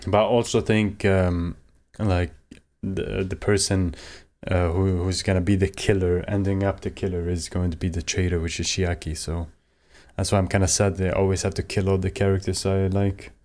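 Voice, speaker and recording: A man from Sweden with a Swedish accent, giving rambling, halting commentary while playing a story game. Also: low-pitched, flat delivery, darkish tone. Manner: rambling, halting, with many fillers. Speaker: gaming streamer. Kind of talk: casual let's play commentary.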